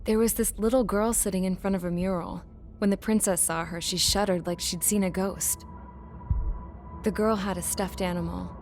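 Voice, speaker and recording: A woman's voice: mid-range voice, steady tone, normal speed, darkish tone, close to an everyday voice. An actress playing a blunt, streetwise young woman who recounts war in a plain-spoken, wry way for a game cutscene. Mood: matter-of-fact. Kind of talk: game cutscene dialogue and narration. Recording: music behind the voice. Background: music.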